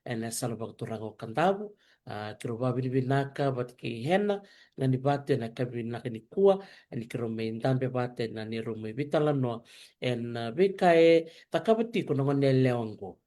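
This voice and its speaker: A talk show host with a warm, mellow voice at a middle pitch. The host asks questions gently, pausing often on "uh" and "eh" in a soft, unhurried interview chat. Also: expressive. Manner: soft-spoken, gentle questions with many hesitant fillers. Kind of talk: casual interview chat, switching between Fijian and English.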